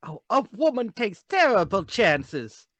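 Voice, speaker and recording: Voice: medium pitch, very animated, unhurried, a bit bright, no echo, highs cut off. A performer voicing a chatty, folksy, easily alarmed English woman who keeps a small-town shop, in a radio drama read. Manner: deferential, folksy.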